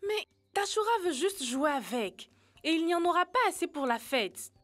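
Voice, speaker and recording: A woman's voice: very high-pitched, big pitch swings, moderate pace, soft background music. A woman voicing an earnest, helpful young animal in a French educational cartoon, explaining things step by step. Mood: concerned.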